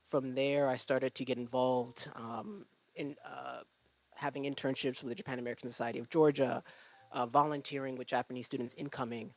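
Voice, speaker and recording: A man speaking as a panelist at an event, relaxed and wry as he tells his story. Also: mid-range voice, a little fast, gravelly. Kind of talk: answering on a panel. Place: event room with audience.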